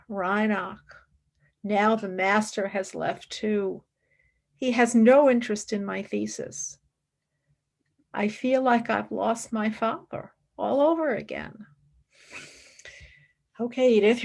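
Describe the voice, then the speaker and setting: A woman's voice: mid-range voice, takes it slowly, creaky. An actress playing a fervent young female philosophy student in a radio play, dramatic and intensely devoted. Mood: grieving, anguished. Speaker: actor.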